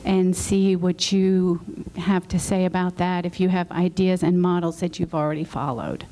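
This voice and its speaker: A woman in the audience asking the panel a heartfelt question, apologetic and loud-voiced. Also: lowish voice, fairly even intonation, moderate pace, slight background noise, poor audio. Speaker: audience member. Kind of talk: question from the floor. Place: conference session room.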